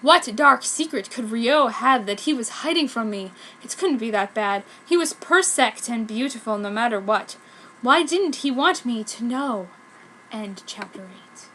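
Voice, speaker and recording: A woman's voice: a little high, lively intonation, measured pace, pushed and bright, a little hiss or hum, rough recording. A woman narrating fan fiction in a mock-serious dramatic style, with exaggerated emphasis and stumbles over words.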